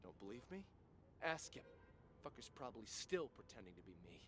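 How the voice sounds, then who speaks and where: A man's voice: medium pitch, brisk, slight background noise. A man playing a resentful, streetwise young suspect under police questioning in an audio drama. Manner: excitable, streetwise, aggrieved.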